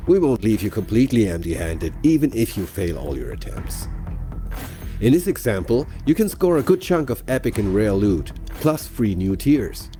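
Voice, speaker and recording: A man's voice: lowish voice, warm tone. A man presenting a game in a scripted promotional explainer, chatty and playful as he addresses viewers directly, with music behind him.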